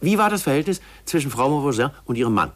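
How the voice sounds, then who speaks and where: An actor playing a formal, insistent interrogating official who presses leading questions in a 1950s German radio drama. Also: male voice, mid-range voice, very animated, fast, a bit bright. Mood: probing.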